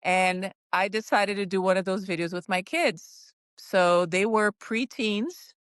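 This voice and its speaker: A woman's voice: medium pitch, a little slow, clean tone, dry recording. A middle-aged woman whose first language is Portuguese, a guest wellness coach talking casually and encouragingly on a podcast, with frequent ums. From Brazil.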